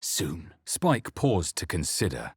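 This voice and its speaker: Husky, low-voiced fantasy adventurer hero. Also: male voice, huge pitch swings, slow pace, exaggerated voice. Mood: grave narration. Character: male fantasy adventurer hero, brooding, wry, casting spells. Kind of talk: video game voice lines and narration.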